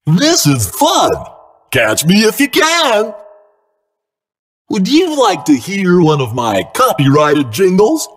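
A husky animatronic bear mascot from a horror video game, drenched in long reverb. The delivery is slow and swoops wildly, like a showman being playful and sing-song. Every friendly line carries sly menace. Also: mid-range voice, full character voice. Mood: falsely friendly. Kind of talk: theatrical video game character lines.

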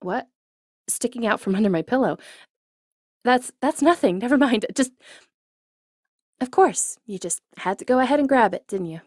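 A woman's voice: on the high side, lively intonation, normal speed, pauses often, no echo, clean studio sound. A young woman playing a jealous, teasing college ex-girlfriend in an intimate ASMR roleplay, speaking expressively straight to the listener. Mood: indignant, defensive. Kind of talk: ASMR roleplay acting, intimate one-sided dialogue.

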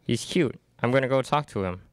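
A man's voice: medium pitch, animated, fairly quick, clean tone, no echo, close to an everyday voice. A young man playing a breezy, eager vampire fan in a student radio play, reading casually from a script. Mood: breezy, keen. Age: young adult. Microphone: microphone on boom stand.

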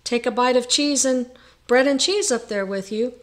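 A woman voicing a scheming man in a storybook, giving instructions in a solemn, deliberate, ominous manner. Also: on the high side, close to an everyday voice.

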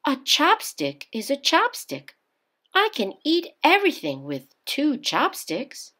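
A proud and curious young woman from a storybook, voiced by a woman reader. She speaks high and emphatically, easily flustered, with questions that swing upward in a lively way. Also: slow pace, exaggerated voice. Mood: puzzled, indignant.

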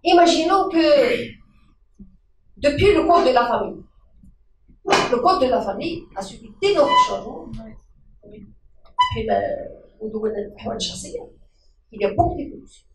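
High-voiced woman, earnest, echoey room. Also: lively intonation, measured pace, pauses often, recorded from a distance, a little hiss or hum. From Morocco. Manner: earnest, repeating key phrases. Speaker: audience member. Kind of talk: debate contribution. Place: crowded indoor meeting room. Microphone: handheld mic.

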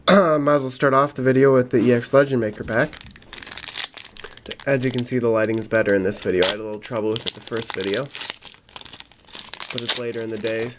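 A young man talks casually at a table at home, recorded on a camera mic with faint noise and crinkling wrappers. His voice is medium in pitch and slightly raspy, as if getting over a cold. He keeps a low-key, matter-of-fact manner as he comments on what he unpacks. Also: fairly even intonation, brisk, pauses often. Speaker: YouTube host opening trading card packs. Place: indoors at a table in a home room. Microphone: camera mic at about arm's length. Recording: noisy room, card and wrapper crinkling. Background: crinkling packs, clicks, faint noise.

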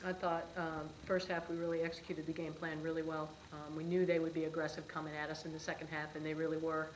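A middle-aged woman, a head basketball coach, answering questions at a post-game press conference in a brisk, matter-of-fact way. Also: low voice, little pitch movement, reverberant, a little hiss or hum, low-quality recording. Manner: brisk, matter-of-fact, with frequent "um" and "you know". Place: press room before a sponsor backdrop, seated at a table.

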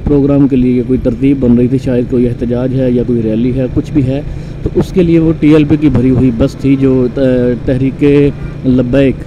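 A man from Pakistan, calmly narrating a motorcycle ride through his city with many pauses and fillers. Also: mid-range voice. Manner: calm, unhurried, pausing with frequent fillers. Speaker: motorcycle vlogger. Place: on a motorcycle on a city road, outdoors. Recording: dull lo-fi sound with road and traffic noise.

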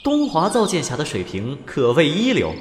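An actor playing a chatty, teasing, genial immortal in an audio drama, with a playful, wry manner. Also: male voice, fairly high pitch, wildly swooping pitch, normal speed, a little hiss or hum. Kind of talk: Chinese audio drama acting. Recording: music behind the voice.

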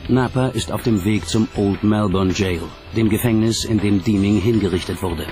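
Man, documentary narrator, lowish pitch, scripted delivery, clear background music. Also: moderate pace, a little mellow.

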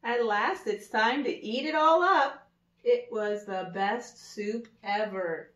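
A woman in her thirties reading a children's picture book aloud like an early education teacher, with simple, deliberate phrasing and little asides. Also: fairly high pitch, fairly bright tone. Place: indoor room with wood-paneled wall. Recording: echoey room, slightly dull sound.

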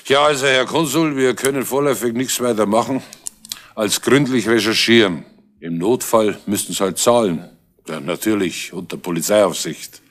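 Actor as a wry Bavarian police inspector. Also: male voice, lowish voice, normal speed, close to an everyday voice. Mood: wry, businesslike. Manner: folksy, dry, sardonic. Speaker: actor in a radio crime play. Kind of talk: 1970s German radio play acting.

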